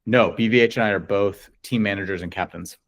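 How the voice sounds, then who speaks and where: A man's voice: fairly low pitch, brisk, a bit bright. A man of forty talking casually as a guest in an interview. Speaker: interview guest, coach and player. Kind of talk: casual chat.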